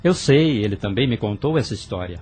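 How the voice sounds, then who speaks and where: A man's voice: on the low side, animated, brisk, darkish tone, breathy edge. A man voicing a young brother in an audio drama, earnest and hesitant as he confesses. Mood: tense. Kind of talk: radio drama acting.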